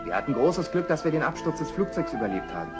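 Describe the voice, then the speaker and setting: An actor playing a kind, level-headed expedition survivor in a children's radio play, speaking earnestly and emphatically. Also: male voice, on the high side, sing-song, fast. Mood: urging, reasonable. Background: music.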